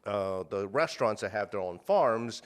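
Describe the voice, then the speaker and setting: A man with a lowish voice chats as a guest. He rambles and restarts, with plenty of fillers. He curses bluntly. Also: lively intonation, normal speed, clear, resonant tone. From United States. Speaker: guest, meat purveyor. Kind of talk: casual interview talk.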